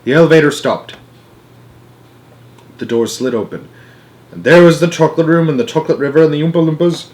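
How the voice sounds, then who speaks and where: A man narrates a children's book aloud in an expressive amateur style, sometimes tripping over words and correcting himself. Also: fairly high pitch, a little fast, long pauses.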